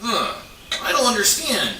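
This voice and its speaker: A man's voice: very high voice, huge pitch swings, unhurried, bright, forceful tone, full character voice. A man voicing an eager, easily confused beaver in a children's puppet show, with an exclaiming, questioning way of talking. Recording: echoey, distant mic, hissy with birdsong.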